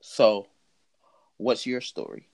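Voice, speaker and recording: A man's voice: lowish voice, slow, gravelly, a little mellow, full character voice. A man telling a story voices a knowing homeless man who talks in cryptic, repeated, reassuring phrases.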